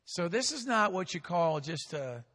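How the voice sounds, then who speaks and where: A male pastor preaching a sermon with call-and-response, swinging between loud and hushed, drawing out key phrases slowly. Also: high voice, sing-song, big-room sound.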